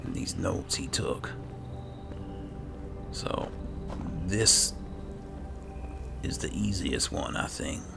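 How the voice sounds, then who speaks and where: A man murmuring low-key game commentary, thinking aloud and reading bits as he plays. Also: animated, drawn-out words, vocal fry, long pauses, music in the background. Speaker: gamer host playing and roleplaying a fantasy game. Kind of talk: casual game commentary with read-aloud notes.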